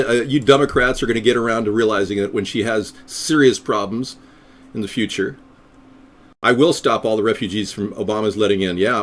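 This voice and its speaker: Man, middle-aged, from the US, sardonic, rambling live-stream host, lively up-and-down voice. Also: medium pitch. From United States. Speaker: third-party candidate hosting a live stream.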